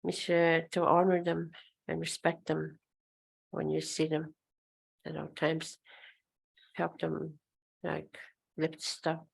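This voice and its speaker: Older woman elder, slow and gravelly. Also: sits low, monotone, poor audio. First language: Oji-Cree. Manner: slow, hesitant, many pauses and throat clearing. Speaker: elder speaker. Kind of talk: informal talk at a gathering. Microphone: handheld microphone.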